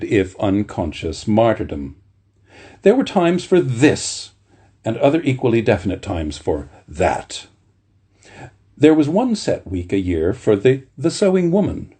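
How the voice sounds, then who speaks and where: A man reading literature aloud in a measured, deliberate way, with the informal touch of a bedtime story. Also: mid-range voice, warm tone, breathy edge. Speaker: reader at a bedtime story series.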